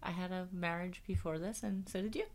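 A woman's voice: lowish voice, steady tone, fairly quick. A middle-aged woman co-hosting a casual podcast, chatty and bubbly, laughing often. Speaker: podcast co-host. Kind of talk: casual chat.

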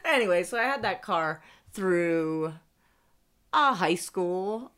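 Woman in her forties, co-host, casual chat, self-deprecating, laughs often, lowish voice. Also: expressive, slow, fairly bright tone, clear, resonant tone. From Pennsylvania. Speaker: podcast co-host.

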